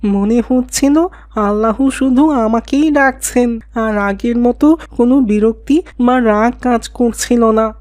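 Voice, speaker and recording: A woman's voice: on the high side, slow, darkish tone, no room sound. A woman voicing an earnest, emotional young boy in an Islamic cartoon drama. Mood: earnest, hopeful. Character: Mahadi, remorseful boy turned devout and kind.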